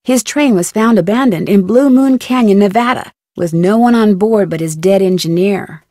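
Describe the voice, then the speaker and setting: A woman's voice: medium pitch, normal speed, dark tone, no room sound, clean studio sound, natural voice. A woman voicing a level-headed, friendly young amateur detective in a video game. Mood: matter-of-fact.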